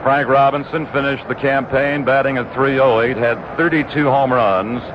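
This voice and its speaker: A man's voice: mid-range voice, noisy background. Man calling baseball on a muffled old radio broadcast. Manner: steady, measured delivery packed with statistics. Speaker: play-by-play announcer. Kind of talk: live radio baseball commentary.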